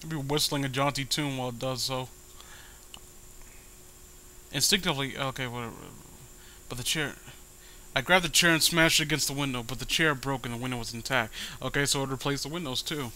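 A man's voice: mid-range voice, fairly even intonation, brisk, bright, forceful tone, slight background noise, rough recording. A man reading a horror story aloud with dramatic delivery and sarcastic commentary. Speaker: host reading amateur horror stories.

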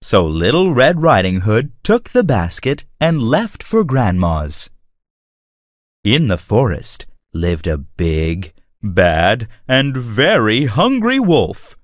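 A man narrating a scripted children's story in an expressive, dramatic storytelling manner. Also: medium pitch, slow pace, no echo, clean studio sound.

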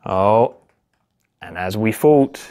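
A man reads a story aloud to young children in simple, expressive narration, posing questions and exclaiming as he goes. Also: lowish voice, takes it slowly, very noisy. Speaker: police constable reading a children's story. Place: small indoor office or home room.

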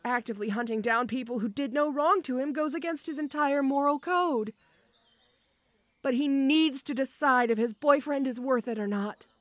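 Audio roleplay: woman as a strong but gentle orc schoolgirl, high voice, stammering and shy, very dry recording. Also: moderate pace, no room sound, lightly acted. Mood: shy, earnest. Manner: hesitant, stammering, bashful.

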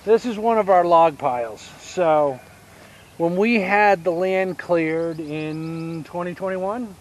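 Southern guy in his 50s walking round his farm, slow easy talk, camera at arm's length outside. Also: high-pitched, darkish tone, slight background noise. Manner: unhurried, explains step by step with pauses and fillers. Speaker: homesteader giving a tour. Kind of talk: casual walking tour. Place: outdoors on rural land by a pine forest. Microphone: action camera held at arm's length.

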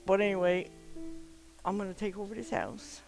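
A nasal, slightly stuffed-up voice with a gravelly, creaky edge, rambling through casual gameplay commentary. The talk is chatty and loose, full of "um"s and offhand swearing. Faint game music plays quietly underneath. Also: mid-range voice, level tone, normal speed. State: stuffed-up from swimming. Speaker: gamer recording a let's play.

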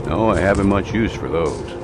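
Sly, folksy old loner played by a man, old-time radio horror drama, animated intonation, music underneath. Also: low-pitched, moderate pace. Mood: mild, friendly. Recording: music behind the voice.

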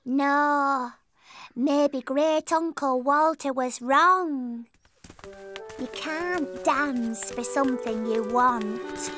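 A British woman voicing an excitable, stubborn little girl princess in a cartoon, childlike and blunt. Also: high-pitched, very slow, soft background music, exaggerated voice. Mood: sulky. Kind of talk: cartoon voice acting.

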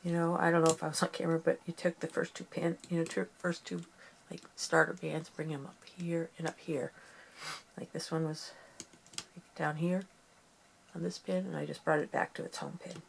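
A middle-aged woman with a slightly low, gravelly voice and a sniffly, stuffed-up nose walks through a craft project at her table. She talks in a soft, rambling, homey way. A faint hiss sits behind her. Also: level tone, moderate pace. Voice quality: gravelly, creaky voice. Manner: talks softly while working with her hands, rambling and homey. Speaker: craft tutorial host. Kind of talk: casual craft tutorial.

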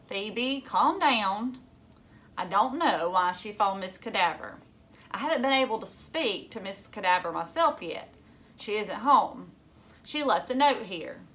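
A woman reading the part of a worried father in a storybook, measured and placating. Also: mid-range voice, animated, a bit bright. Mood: calming. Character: worried father trying to stay calm, in a storybook.

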